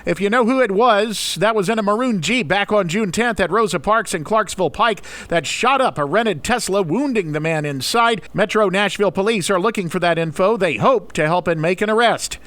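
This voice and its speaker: This is a man anchoring a radio newscast. His voice sits high for a man. He reads prepared news copy in bulletin style. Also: brisk, few pauses, a bit bright, dry recording. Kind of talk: scripted radio news reading.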